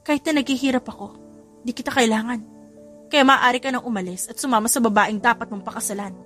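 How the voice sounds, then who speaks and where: A woman performs a young mother in a romance story. Her voice is on the high side, emotional and proud, set over music. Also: steady tone, fast, a bit bright, lightly acted. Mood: hurt, angry.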